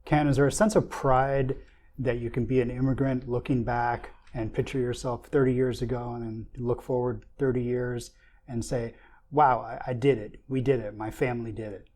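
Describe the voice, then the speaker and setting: A man's voice: medium pitch, flat delivery, normal speed, a little mellow. A man from the Pacific Northwest hosting a union podcast interview, upbeat and formal in his intros.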